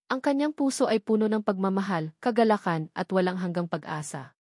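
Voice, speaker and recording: A woman reads a sentimental story as a narrator. Her delivery is earnest and measured, at a middling pitch. The sound is close and dry, with no room around it. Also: darkish tone, no echo.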